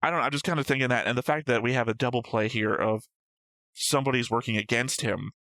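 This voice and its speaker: A man's voice: mid-range voice, quick pace, a bit bright, no room sound. A man co-hosting a reaction show, reasoning aloud in a casual, argumentative discussion of story theories. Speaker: co-host of an anime reaction show.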